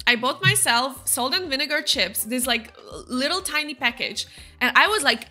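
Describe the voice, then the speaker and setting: A bright, rather high-voiced young woman with a Slovak accent, talking casually to her viewers over a game. She chats on, answering chat messages one after another, with soft game music playing faintly behind her. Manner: chatty, reads chat messages aloud and answers them. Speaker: streamer. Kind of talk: casual chat while gaming.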